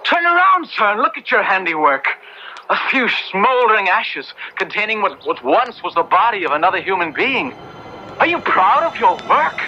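A principled young colonial clergyman in a vintage radio play. His delivery is formal and earnest, with a bright, tense edge. The recording is dull with faint noise. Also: sits high, big pitch swings, normal speed, heavily acted. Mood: outraged. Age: young adult. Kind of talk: old radio drama acting. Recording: muffled, faintly noisy old radio recording.

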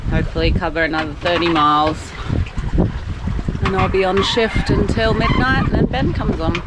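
A woman's voice: on the low side, moderate pace, heavy background noise. An Australian woman in her thirties chatting casually to a handheld camera outdoors on a boat. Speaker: sailing vlogger. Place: outdoors on a sailboat cockpit at sea. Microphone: handheld action camera at arm's length.

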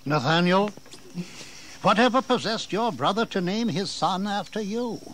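A proud colonial governor with a high-pitched voice. He is sardonic and declamatory, in historical radio drama style, over faint noise. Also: animated, a little slow, a bit bright. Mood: sardonic indignation. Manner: declamatory, sarcastic, aristocratic. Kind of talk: historical audio drama acting. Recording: faintly noisy 1980s drama recording.

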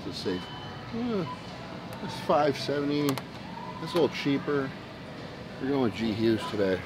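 A young man joking around on a grocery run in a big store, relaxed and casually swearing. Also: mid-range voice, a little slow, music in the background, poor audio. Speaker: visiting bodybuilder. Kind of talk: casual chat while shopping. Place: large indoor grocery store.

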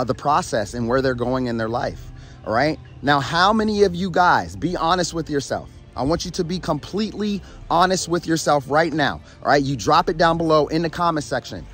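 A man with a hoarse voice, sounding like he is losing it, tired but energetic as he gives a motivational talk to camera, addressing viewers as "family" and checking in with "all right?". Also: fairly high pitch. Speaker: motivational YouTube host and coach. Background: background music.

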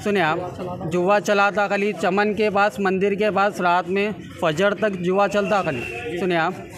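A young man with a Hyderabadi accent speaks in a high voice, giving an eyewitness-style statement. He is insistent and circles back over his words, with crowd bustle around the microphones. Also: steady tone, a little slow. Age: young adult. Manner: insistent, repetitive, often urging listeners to hear them out. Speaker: injured attack victim giving a statement. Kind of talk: spontaneous interview account to reporters. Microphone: handheld reporters' microphones held close. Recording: street-interview sound with crowd bustle.

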